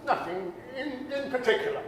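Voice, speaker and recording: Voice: high voice, expressive, normal speed. Actor doing old miser feeling regret, radio drama style, very echoey with a bit of noise. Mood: regretful. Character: remorseful old miser revisiting his past, troubled. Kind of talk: 1930s radio acting. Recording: echoey hall with faint background noise.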